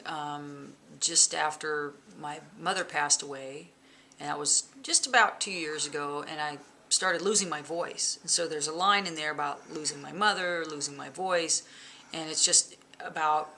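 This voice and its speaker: Woman bass player chatting at home, kinda gravelly, bright voice, lots of "you know"s, faint hiss behind. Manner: lively, chatty, laughs often, many fillers. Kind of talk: casual interview chat. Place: living room at home. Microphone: camera in front, close framing.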